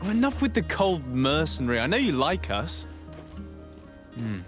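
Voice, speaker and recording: A man's voice: a little high, sing-song, a bit bright, faint music behind. Courteous, formal young nobleman. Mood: friendly. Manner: polite, formal, measured. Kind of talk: video game character acting.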